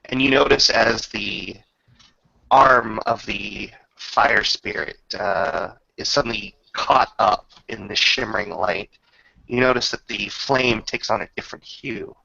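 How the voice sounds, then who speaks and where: A man improvising dramatic, descriptive narration as game master of a tabletop roleplaying game, pausing between phrases. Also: on the low side, gravelly, pushed and bright, dry recording, lo-fi sound.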